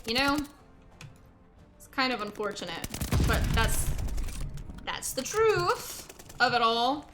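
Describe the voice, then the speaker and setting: A young adult woman streaming a game and chatting with viewers, slightly hoarse, talking in a loose, rambling way full of "like" and repeated thank-yous. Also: fairly high pitch, sing-song, slow pace, pauses often, over music. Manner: chatty, many filler likes, repeats thanks. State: a bit hoarse, sore throat. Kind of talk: casual chat while gaming.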